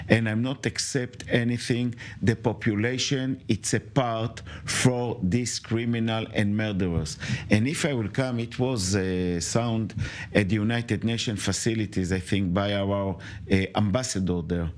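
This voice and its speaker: A man's voice: on the low side, a little mellow. Emphatic man with an Israeli accent. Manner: emphatic, halting non-native English. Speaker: studio commentator. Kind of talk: news panel commentary.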